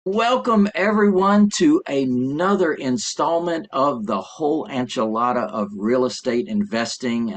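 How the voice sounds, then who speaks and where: Upbeat older man hosting a podcast. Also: medium pitch. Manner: upbeat, enthusiastic. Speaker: podcast host.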